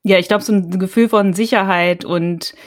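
A woman's voice: medium pitch, fairly even intonation, moderate pace, no room sound, studio quality. A woman co-hosting a podcast, talking enthusiastically and gigglingly as a longtime fan. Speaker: podcast co-host. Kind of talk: casual interview chat.

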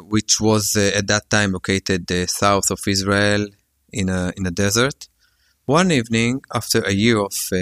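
A soft-spoken co-founder with a dry, close sound and a fairly low voice. He answers interview questions earnestly and hesitantly, filling the gaps with many fillers. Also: no room sound. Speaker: company co-founder.

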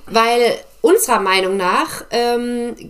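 A woman's voice: fairly high pitch, takes it slowly. A woman co-hosting a casual podcast, upbeat, leading the talk with short affirmations. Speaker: podcast co-host.

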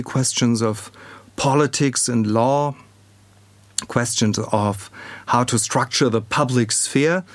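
A man with a German accent hosting an academic podcast, speaking in a measured, formal way as he introduces and questions a guest. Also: medium pitch, dry recording. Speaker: podcast host; political scientist.

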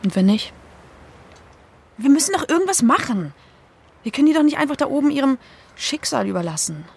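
A woman playing an anxious, caring young activist in an audio drama, with a very husky, breathy voice and emotional, colloquial delivery. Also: sits high, big pitch swings, fairly quick, darkish tone, heavily acted. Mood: worried, distressed. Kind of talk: naturalistic audio drama dialogue.

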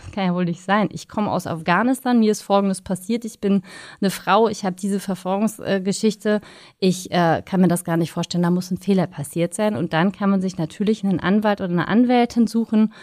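A woman's voice: medium pitch, brisk, darkish tone, no room sound, studio quality. A woman speaking as an expert guest in an interview, explaining things in an engaged, detailed way.

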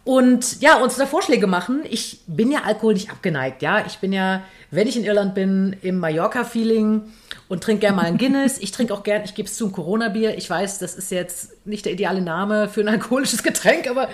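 A woman from Cologne with a Kölsch accent, co-hosting a casual pop culture podcast, chatty and quick to laugh. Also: medium pitch, lively intonation. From Cologne, Germany. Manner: chatty, laughs often, restarts sentences. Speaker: podcast co-host. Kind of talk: casual chat. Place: home in Berlin.

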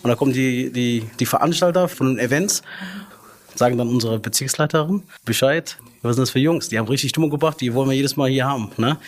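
A man's voice: medium pitch, fast. A young man speaking in an ordinary middle register. He talks casually as an interview guest, a restaurant manager who often restarts sentences and drops in small fillers. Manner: chatty, frequent fillers and restarts.

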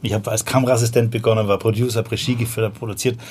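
A man's voice: on the low side, flat delivery, racing, a little mellow, a little hiss or hum. A man in his forties with a Viennese accent, a podcast guest talking casually in a loose, slangy way, full of fillers and restarts. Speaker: podcast guest, startup founder. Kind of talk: casual conversational storytelling.

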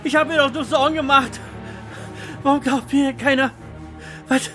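A man's voice: very high-pitched, steady tone, normal speed, pushed and bright, faint music behind, pitched above the performer's own voice. A man playing a timid, boring accountant in an audio drama, stammering, pleading and crying out in helpless panic. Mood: desperate, crying.

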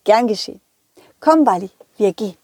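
A woman's voice: medium pitch, expressive, normal speed, breathy edge, no echo, studio quality. A woman voicing a loyal, confident, encouraging male dog who talks kindly to friends in a children's audio play. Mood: thankful. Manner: encouraging, addresses listeners and companions warmly. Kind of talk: children's audio play, told in first person.

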